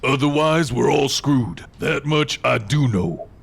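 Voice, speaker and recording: An actor playing a gruff, hot-headed resistance fighter in a video game, blunt, impatient and folksy, with a raspy voice. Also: male voice, mid-range voice, unhurried. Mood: impatient, blustering. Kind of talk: dramatic video game acting.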